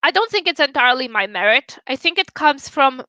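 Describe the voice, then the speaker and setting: A woman's voice: sits high, lively intonation, a little fast, sharp tone, no room sound, highs cut off. A young woman from Romania with a Romanian accent, chatting candidly with her livestream viewers and swearing casually. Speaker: livestream host.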